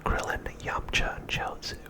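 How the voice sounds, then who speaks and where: A man narrating a script as ASMR in a breathy, unvoiced whisper, softly and deliberately, pausing often between phrases. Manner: soft, deliberate, with frequent pauses between phrases.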